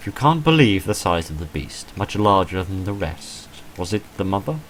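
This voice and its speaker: A deep-voiced man narrates a visual novel playthrough. He reads the text like a dramatic story and drops in dry, offhand commentary. There is some faint noise behind his voice. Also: big pitch swings, moderate pace, fairly bright tone, rough recording. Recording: noticeable background noise.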